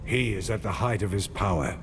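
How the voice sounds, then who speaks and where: An actor voicing a stern, defiant warrior hero, grimly determined, in a fantasy video game. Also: male voice, lowish voice, lively intonation, a little fast, noisy background, lightly acted. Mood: grim resolve. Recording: loud music behind. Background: loud game score.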